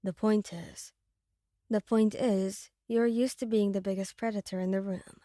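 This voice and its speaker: A woman voicing a hot-tempered, gruff young male mafia boss with a curt, snapping manner in an audio roleplay. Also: medium pitch, fairly even intonation, measured pace, dark tone, no echo, clean studio sound, natural voice. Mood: snapping, demanding.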